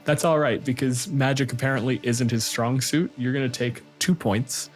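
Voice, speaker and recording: Man, game master, medium pitch, tabletop narration, clear music bed behind. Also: fairly even intonation, normal speed.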